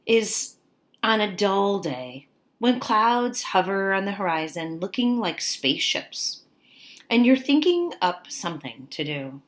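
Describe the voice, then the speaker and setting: Animated woman reading aloud to children. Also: medium pitch, a little slow. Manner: expressive, coaxing, prompts listeners with frequent questions. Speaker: teacher reading a picture book aloud to children. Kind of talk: children's read-aloud with questions and asides to young listeners.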